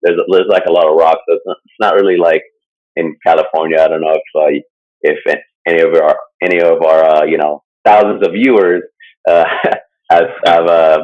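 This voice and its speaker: A man with a very low, deep voice chats loosely about a topic he's presenting, leaning on "like" and "uh" and chuckling often. The recording is dull and lo-fi. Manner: rambling, full of "like" and "uh" fillers, chuckles often. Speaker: podcast co-host presenting a topic. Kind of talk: casual chat. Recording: dull lo-fi sound.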